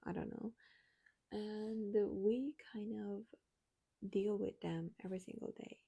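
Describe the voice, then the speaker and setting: A woman in her twenties from Iran, a native Armenian speaker, talking candidly to camera in a personal vlog, hesitant and soft, with many fillers and restarts. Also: medium pitch, vocal fry, slightly rough. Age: 20s. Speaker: vlogger.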